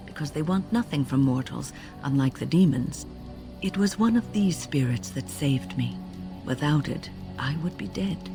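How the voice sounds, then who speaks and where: An older actress playing a wise, composed mage woman, reflective and formal, in a video game. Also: low-pitched. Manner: measured, reflective, formal storytelling. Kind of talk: dramatic video game dialogue.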